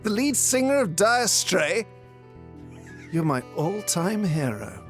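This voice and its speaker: An actor playing a pompous, melodramatic detective inspector with florid, theatrical speech in a comic audio drama. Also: male voice, sits high, very animated, slow pace, music in the background, full character voice. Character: pompous, melodramatic male detective inspector with grandiose self-regard.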